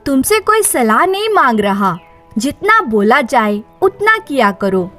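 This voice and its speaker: A bullying husband, played by a woman storyteller in her high voice gives curt, menacing orders. He is short-tempered and speaks slowly, in a moral story. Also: very animated, a bit bright, soft background music, exaggerated voice.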